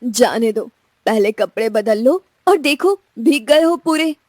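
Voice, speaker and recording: Woman as a fussing mother, high voice, affectionate, gently scolding, lively intonation, audio drama. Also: unhurried, no room sound, clean studio sound. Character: caring, fussing middle-aged mother of a grown son. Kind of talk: romantic audio drama acting.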